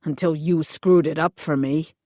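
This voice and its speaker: A woman's voice: low voice, steady tone, normal speed, dark tone, no echo, studio quality, voice pushed down. A woman reading aloud, voicing a big hired male thug who speaks bluntly and matter-of-factly.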